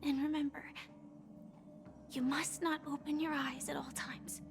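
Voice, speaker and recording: Soft, high-voiced, enigmatic young woman. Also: little pitch movement. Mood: calm, reassuring. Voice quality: soft, breathy, often half-whispered. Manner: slow, gentle, reassuring. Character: gentle, enigmatic young woman, calm and wistful. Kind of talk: video game voice acting. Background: game music.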